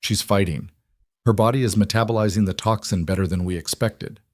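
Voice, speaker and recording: A man's voice: lowish voice, a little fast, a little mellow, dry recording, natural voice. Male narrator as woman doctor, composed, clinical, careful wording, audio drama, dry recording. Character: calm, professional female hospital toxicologist.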